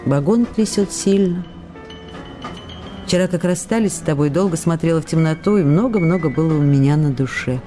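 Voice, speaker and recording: Woman playing tender young actress in love, lowish mellow voice, intimate, wistful, pensive pauses, radio play letter reading, music underneath. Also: natural voice. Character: tender lovestruck young actress writing to her beloved.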